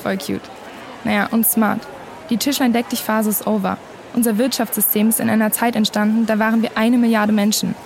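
A young woman playing a cheeky, opinionated student activist with slangy, wry delivery and a creaky voice in naturalistic audio drama. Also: a little high, little pitch movement, fairly quick, darkish tone, natural voice. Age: young adult. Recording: faint outdoor noise.

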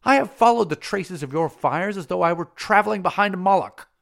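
A man's voice: sits high, lively intonation, a little fast, gravelly, a bit bright, voice pushed up, heavily acted. A man voicing a proud young priestess in an audiobook, pleading and accusing by turns. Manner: pleading, then accusing and bitter. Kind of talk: audiobook character voice.

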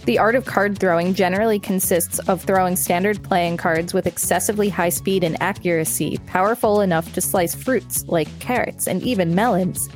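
A woman with a medium-pitched voice co-hosts a reading podcast. She alternates between scripted article text and informal chat, with light music in the background. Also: a little mellow. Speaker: podcast co-host. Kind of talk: reading an encyclopedia article aloud with casual banter.

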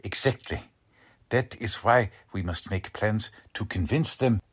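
A man's voice: fairly low pitch, unhurried, slightly rough. A man playing an anxious, principled middle-aged German-American father in a 1940s-style radio drama, grave and earnest. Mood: resolute. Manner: grave, earnest, formal phrasing. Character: anxious German-American family patriarch, urgent but principled.

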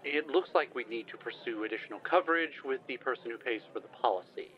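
A man's voice: mid-range voice, fast, lightly acted. Actor playing a wry, formal man in muffled sound. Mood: wry, hesitant. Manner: wordy, formal, dry. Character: wry, formal, anxious man calling an insurance line. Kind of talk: comedy audio drama. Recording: dull, band-limited call sound.

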